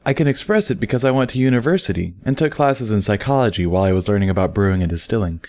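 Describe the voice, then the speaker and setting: A man's voice: lowish voice, lively intonation, very fast, a little mellow, no echo, studio quality. A man voicing a confident, teasing, educated female pony who explains things patiently and wordily in a story reading. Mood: playfully confident.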